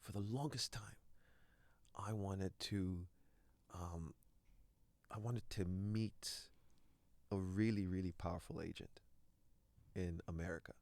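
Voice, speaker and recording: A man's voice: deep voice, steady tone, slow pace, long pauses, darkish tone, no echo. A man from Canada whose first language is French, a guest actor speaking earnestly in an interview, often repeating words as he searches for them. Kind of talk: reflective interview talk.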